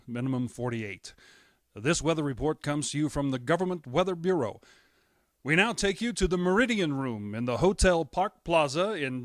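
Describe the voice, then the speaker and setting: An actor playing a radio announcer reading the weather in a radio drama, formal. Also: male voice, on the high side, dry recording, studio quality. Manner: formal, even delivery.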